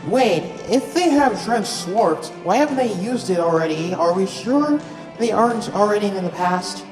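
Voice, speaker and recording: A woman's voice: medium pitch, lively intonation, moderate pace, lightly acted. A woman voicing a young, anxious, questioning robot crew member in an amateur comic dub. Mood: puzzled. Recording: roomy, with music bed.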